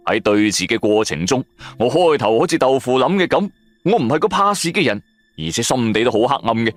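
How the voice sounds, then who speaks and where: A man's voice: medium pitch, dry recording. A man with a Cantonese accent narrating a supernatural audio drama in the first person, colloquial and dramatic. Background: background music.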